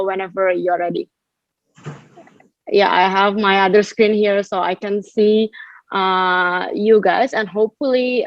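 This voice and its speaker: A woman teaches yoga to an online class. She is encouraging and reassuring, with a mid-pitched voice. The sound is a slightly muffled, dry video call. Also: no echo. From Indonesia. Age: 20s. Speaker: yoga instructor. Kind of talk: informal instruction to an online class. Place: large empty sports hall. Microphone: video call, laptop a few feet away.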